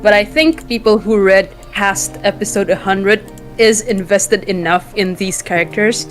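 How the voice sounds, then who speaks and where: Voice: mid-range voice, measured pace, faint music behind. A creator being interviewed in casual chat, thinking aloud in a giggly, hesitant way and giving advice. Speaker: webtoon creator, interview guest.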